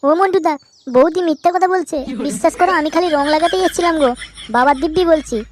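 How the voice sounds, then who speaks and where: A man voicing a cheeky young cartoon prankster, whiny and slangy, with a pitched-up effect. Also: quick pace, slight background noise. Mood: pleading. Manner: slangy, pleading.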